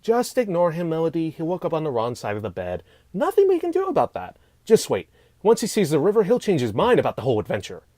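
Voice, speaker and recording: Woman voicing a very animated, eager teen boy. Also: low voice, fast talker, dry recording, studio quality, heavily acted. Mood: breezy. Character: cheerful, mysterious teen boy, eager adventure leader. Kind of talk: amateur script-read voice acting.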